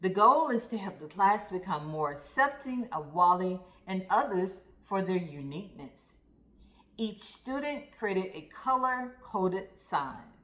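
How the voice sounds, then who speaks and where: Female read-aloud narrator for young kids, slow and deliberate with animated melody, addressing the children directly. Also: on the low side. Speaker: storyteller reading aloud to children. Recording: roomy, echoey sound.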